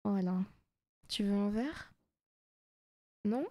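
Soft, teasing demon girlfriend acted by a woman. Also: medium pitch, takes it slowly, a little hiss or hum, lightly acted. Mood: coaxing, teasing. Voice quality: soft, often breaking into whispers. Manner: teasing, coaxing, possessive. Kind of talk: intimate ASMR roleplay.

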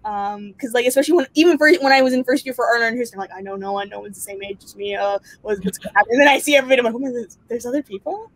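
A chatty young woman artist on a video call from home, telling animated stories with quoted asides. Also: fairly high pitch, rapid-fire, hardly any pauses, poor audio. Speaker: panelist artist. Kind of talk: casual video-call chat. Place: home room indoors. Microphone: laptop webcam mic on video call.

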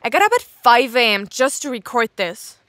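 An actress playing a scatterbrained, sarcastic investigator who rambles and interrupts herself in an audio drama log. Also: female voice, on the high side, very animated, moderate pace, a bit bright, no room sound. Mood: scattered, musing.

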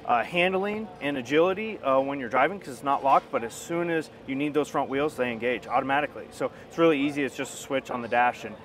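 A man's voice: medium pitch, quick pace, hardly any pauses, fairly bright tone. A man in his thirties, a company representative explaining a product informally in an outdoor interview on a clip-on mic. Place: outdoors at a busy expo ground. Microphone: wireless clip-on mic.

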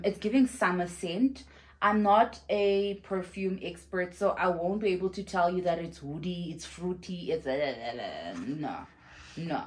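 A young woman from South Africa chatting effusively in a casual perfume review vlog, filmed at home on a camera in front of her. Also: lowish voice. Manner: chatty and effusive, with exclamations and fillers. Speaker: vlogger. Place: home room with shelves, indoors.

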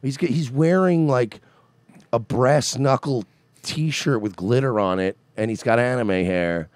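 A man's voice: medium pitch, unhurried, dry recording. A man in his thirties co-hosting a podcast in casual chat, telling stories and mimicking people he met. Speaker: podcast co-host. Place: home studio with red curtain.

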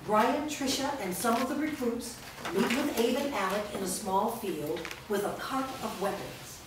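A woman narrating stage directions in a staged script reading, reading them clearly and evenly. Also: fairly high pitch, moderate pace. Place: large indoor studio room. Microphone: far camera. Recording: echoey room from far off, noisy background.

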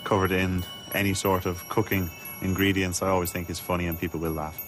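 A man's voice: deep voice, little pitch movement, a little fast, over loud music. A man, a contestant talking animatedly and directing others on set. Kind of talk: reality show on-set talk.

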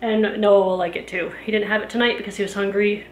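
A woman's voice: medium pitch, fairly even intonation, fast talker, roomy sound, a little hiss or hum, rough recording. A woman in her thirties chatting casually through a home cleaning and cooking vlog, rambling with plenty of fillers, filmed on a handheld camera in her kitchen. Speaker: home vlogger. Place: kitchen of a house. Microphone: handheld camera at arm's length.

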